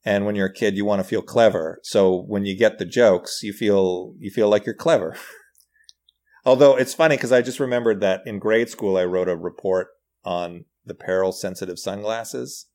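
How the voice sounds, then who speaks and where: A man chatting casually on a podcast as a writer and comedian guest, animated and self-deprecating. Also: fairly low pitch, normal speed.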